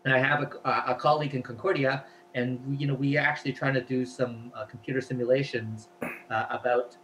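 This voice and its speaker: Male guest, native Cantonese speaker, chatty explainer with a bright, forceful tone. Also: mid-range voice, little pitch movement, brisk, a little hiss or hum, low-quality recording. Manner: chatty, explains at length, filler-heavy. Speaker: guest, language researcher. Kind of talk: casual interview talk.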